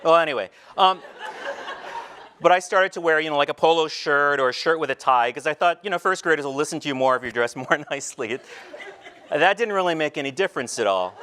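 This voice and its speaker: A middle-aged teacher telling personal stories in a talk on a large indoor stage, shifting between quiet reflective moments and light humor. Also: male voice, on the high side, brisk. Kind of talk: storytelling keynote talk. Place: large stage before a seated audience, indoors. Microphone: headset mic.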